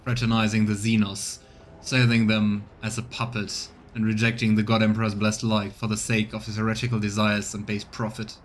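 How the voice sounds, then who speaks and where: A man's voice: on the low side, completely flat delivery, moderate pace, a little hiss or hum, lightly acted. A man voicing a stern, contemptuous interrogator in a game, formal and accusatory. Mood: accusing.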